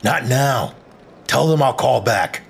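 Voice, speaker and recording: A man playing a sarcastic, frazzled, domineering small-city mayor with a theatrical, drawn-out delivery in an audio drama. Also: mid-range voice, expressive, creaky, a little hiss or hum. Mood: curt sarcasm. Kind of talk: scripted audio drama acting.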